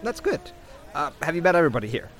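A man's voice: fairly high pitch, huge pitch swings, very fast. A man playing a good-natured, wry survivor chatting in an audio drama. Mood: friendly. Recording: music bed behind the voice.

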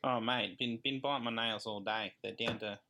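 A man's voice: lowish voice, fairly even intonation, moderate pace, bright, forceful tone. A man, co-hosting a casual podcast in a laid-back, dry way. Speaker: podcast co-host.

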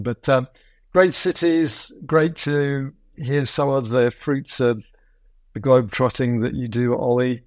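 A man's voice: mid-range voice, no echo, clean studio sound. A man hosting a podcast, talking in a chatty, digressive way with fillers and restarts. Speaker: podcast host. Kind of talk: casual podcast interview.

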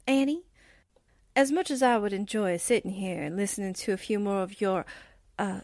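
A woman's voice: mid-range voice, moderate pace, darkish tone, dry recording, clean studio sound, natural voice. A woman playing a breezy, flirty 1940s newspaperwoman sidekick in a radio drama, with slangy, playful wisecracks. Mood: flirty, teasing. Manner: folksy, slangy, playful exclamations.

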